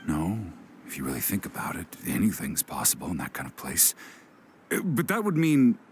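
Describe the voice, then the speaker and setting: A man's voice: extremely low pitch, wildly swooping pitch, normal speed, a little hiss or hum, full character voice. A man playing a guarded, brooding veteran warrior and scout in a video game, reserved and prone to muttering. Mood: startled, pondering. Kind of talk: English video game voice acting. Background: music.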